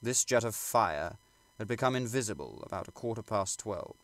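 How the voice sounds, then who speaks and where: Creaky, low-voiced man reading a classic novel. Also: lively intonation, normal speed, fairly bright tone, no echo. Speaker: audiobook reader. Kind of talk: scripted literary narration.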